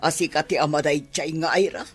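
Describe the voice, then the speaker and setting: A man playing a stern older mother who scolds her grown son in a radio drama, with sharp, reproachful delivery. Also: fairly high pitch, fairly even intonation, quick pace, husky. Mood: scolding.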